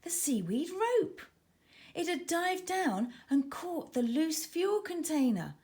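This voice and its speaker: A woman's voice: extremely high pitch, very animated, slow pace, a little mellow. A woman narrating a children's story expressively, with dramatic emphasis as she reads. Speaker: author reading own children's book.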